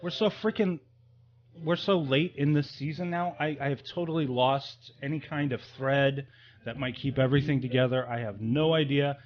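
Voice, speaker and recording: A man's voice: mid-range voice, moderate pace, dull audio. A man hosting a podcast in a loose, joking, unscripted way, rambling through his thoughts. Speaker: podcast host. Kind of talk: casual, unscripted comic chat. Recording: clearly noisy background.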